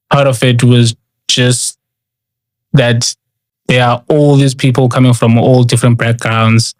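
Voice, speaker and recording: A man's voice: medium pitch, no room sound, rough recording. A young man, talking as an interview guest and looking back with a reflective, candid manner. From South Africa. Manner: reflective, candid, searching for words. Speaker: guest, barista.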